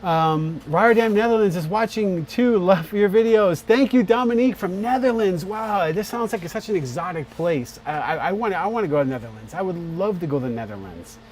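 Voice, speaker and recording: A man's voice: high-pitched, expressive, brisk, hardly any pauses, darkish tone, poor audio. A man hosting a live-stream chat for his video premiere, rambling casually with lots of fillers and restarts. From born on a US Navy base in Morocco, raised in many places. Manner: rambling, many fillers and repeated starts.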